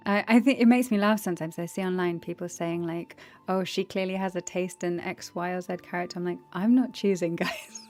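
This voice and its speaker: A woman with a deep voice, chatting casually on a livestream as a streamer and voice actor, with fillers and frequent laughs. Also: steady tone, a little fast, hardly any pauses, a little mellow, faint music behind. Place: home room, indoors.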